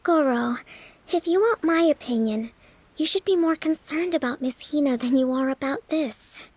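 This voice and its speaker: A woman playing a poised young female leader in a video game, polite, coy and gently teasing. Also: high-pitched, normal speed, dry recording, close to an everyday voice.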